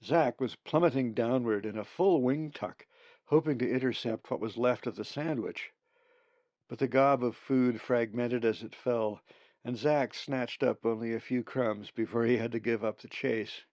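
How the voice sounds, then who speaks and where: A man narrating an audiobook of his own story in a measured storyteller's manner. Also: mid-range voice, a little mellow, dry recording, highs cut off. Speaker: author reading own book.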